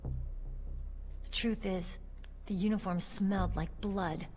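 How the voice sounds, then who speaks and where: A woman's voice: mid-range voice, steady tone, slow, darkish tone, lightly acted. Tough young woman soldier, music behind, acted. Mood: frank, wry. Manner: frank, wry, challenging. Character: young female rookie soldier, tough, idealistic, smart-mouthed. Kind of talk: dramatic video game voice acting. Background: background music.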